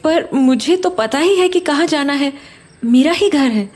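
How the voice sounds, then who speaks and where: A woman's voice: high-pitched, lively intonation, a little slow, a little mellow. A woman playing an uneasy, questioning young mother in an audio horror drama, speaking hesitantly. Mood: doubtful.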